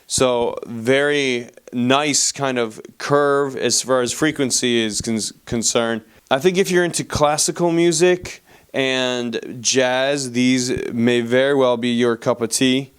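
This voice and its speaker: A man's voice: mid-range voice, fairly even intonation, takes it slowly, clear, resonant tone. A man giving a casual voice-over product review, speaking as a reviewer to viewers.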